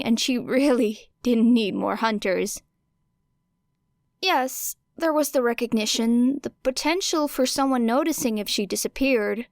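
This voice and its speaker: A woman reading fiction aloud as an audiobook narrator, in an expressive storytelling style. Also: fairly high pitch, no echo, clean studio sound. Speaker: audiobook reader of fan fiction.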